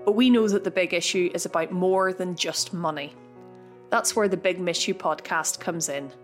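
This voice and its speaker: A woman with a lowish voice reads a podcast introduction in a measured, scripted way. Faint music plays beneath her. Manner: measured, read-aloud delivery. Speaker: podcast host. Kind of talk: scripted narration. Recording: soft music underneath.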